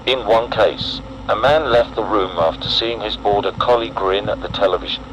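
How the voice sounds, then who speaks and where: Low-voiced man, ominous emergency-broadcast narration, noisy recording. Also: a bit bright, muffled recording. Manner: solemn, deliberate, ominous. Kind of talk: scripted analog horror emergency-broadcast narration.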